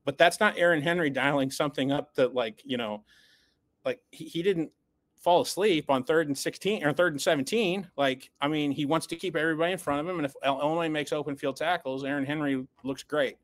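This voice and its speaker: A man's voice: fairly high pitch, brisk, fairly bright tone, no echo. A man co-hosting a sports podcast, giving post-game analysis in an emphatic, blunt way and stumbling over repeated words as he builds up steam. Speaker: podcast co-host.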